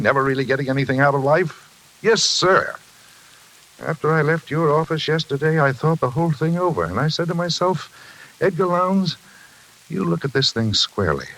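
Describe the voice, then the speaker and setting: A man's voice: on the high side, fairly bright tone. An actor playing a folksy middle-aged American railroad man who justifies himself talkatively, in old-time radio drama style. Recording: noisy, hissy vintage radio broadcast.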